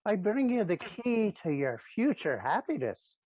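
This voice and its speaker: A man's voice: high voice, very animated, measured pace, breathy edge, dry recording, full character voice. A man playing a sentimental, cheeky servant clown in a Shakespearean audio play. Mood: eager. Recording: dull, dry lo-fi recording.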